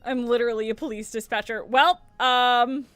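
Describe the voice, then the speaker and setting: Bright, chatty young woman streamer. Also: normal speed, dry recording, faint music behind. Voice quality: mid to low voice. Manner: animated and chatty, swears freely. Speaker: streamer and voice actor. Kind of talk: casual stream chat answering viewer questions.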